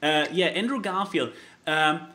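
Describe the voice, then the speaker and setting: A man chatting casually about films as a podcast host, in an animated, rambling way that often stalls and repeats itself mid-thought. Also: fairly high pitch, measured pace. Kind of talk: casual film chat.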